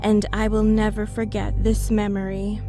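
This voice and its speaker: A woman's voice: mid-range voice, monotone, normal speed. A woman reading a scripted first-person story for a horror narration. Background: clear background music.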